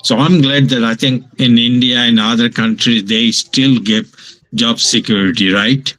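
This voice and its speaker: An older man from Bangladesh, a professor giving a lecture from his home over a video call, pausing often with fillers and restarts. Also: medium pitch, fairly even intonation, a bit bright, poor audio. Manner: slow, halting delivery with many fillers and restarts. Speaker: guest lecturer.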